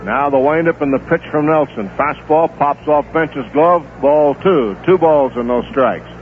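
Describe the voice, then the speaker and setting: A man calling a baseball game over a tinny 1970s AM radio broadcast, his voice sitting a little high. He keeps the play-by-play steady and unhurried and fills the pauses with player stats, with a faint stadium hum behind him. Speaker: play-by-play announcer. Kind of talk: live baseball radio commentary.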